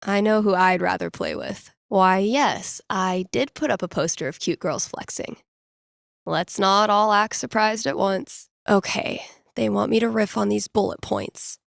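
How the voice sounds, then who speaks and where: A woman's voice: mid-range voice, measured pace, creaky, no echo. A woman playing a young indie radio DJ and tabletop gamer in a video game, musing to herself with dry, self-deprecating sarcasm. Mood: wry, teasing, then nervous, self-mocking. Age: young adult.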